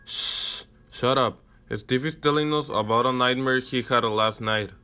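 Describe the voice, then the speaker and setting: A man's voice: medium pitch, unhurried, sharp tone, smooth. A man playing a brash, scornful schoolboy in an amateur radio drama. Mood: bossy.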